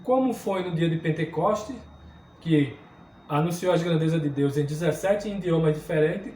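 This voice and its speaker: A man with a high-pitched voice gives an earnest Bible lesson. He teaches at an unhurried pace and keeps checking in with his class. He is recorded from some distance in an echoey room, with a faint hum of ambience behind him. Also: a little mellow. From southern Brazil. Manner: earnest teaching, frequent tag questions. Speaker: Sunday school teacher. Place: church room.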